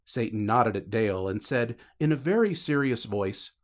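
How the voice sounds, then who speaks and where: A man's voice: mid-range voice, animated, normal speed. A man narrating fiction as a scripted, dramatic audiobook reading.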